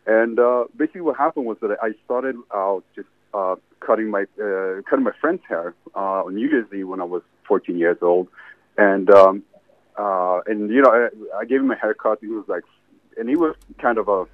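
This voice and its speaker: A man from Sweden, answering interview questions in a rambling, halting way with many restarts. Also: lowish voice, no room sound. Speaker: interview guest, entrepreneur. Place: salad bar. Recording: tinny phone line.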